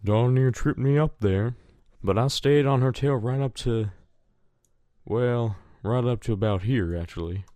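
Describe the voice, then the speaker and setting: A man's voice: mid-range voice, a little slow, dark tone, no room sound, natural voice. A man playing a stubborn, easygoing farm pony with a folksy American Southern drawl in a fan audio drama. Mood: sheepish recounting.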